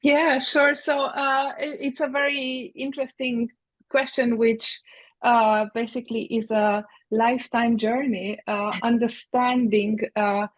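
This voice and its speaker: A woman in her fifties from Romania, a native Hungarian speaker, giving reflective interview answers with many pauses and restarts. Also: a little high, little pitch movement, no room sound, poor audio. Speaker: guest.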